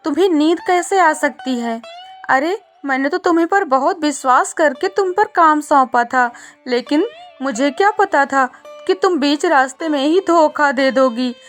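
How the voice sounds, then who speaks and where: A woman plays a scheming female crow from a children's cartoon. The voice is high-pitched and exclamatory, given to scolding and boasting, over a music bed. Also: a little slow. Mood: indignant, scolding. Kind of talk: children's cartoon story voicing.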